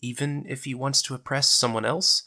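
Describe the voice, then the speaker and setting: A man's voice: medium pitch, steady tone, normal speed, pitched above the performer's own voice. A man voicing a defiant, hot-tempered young woman in an audio drama, with a sarcastic manner. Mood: indignant. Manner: sharp, sarcastic.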